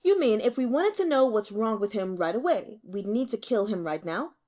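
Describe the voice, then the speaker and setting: A woman performs a young emperor in a fantasy audiobook, haughty and easily annoyed. The delivery is loud and swoops in pitch, informal and full of bored disdain. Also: lively intonation, moderate pace. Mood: impatient. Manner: imperious, bored, scornful, informal. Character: irritable, flippant, weary young male emperor.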